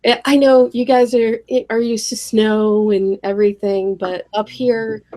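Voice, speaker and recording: A woman co-hosting a casual group chat, sick, her voice deeper and more gravelly than usual, acting out what other people say. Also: unhurried. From Pacific Northwest, US. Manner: animated, acts out quoted customers and family. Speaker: podcast co-host.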